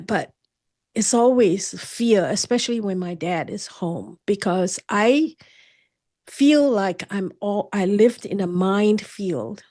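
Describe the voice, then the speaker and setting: A woman in her sixties from Singapore, a guest author telling her story earnestly, with frequent restarts and halting phrasing. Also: medium pitch, a little mellow. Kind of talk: candid personal storytelling.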